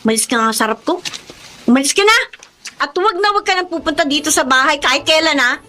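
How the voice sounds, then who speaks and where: Very high-pitched, excitable young Filipina daughter. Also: female voice, fast. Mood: angry. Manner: dramatic, emphatic, gushing and indignant. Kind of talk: Filipino radio drama acting.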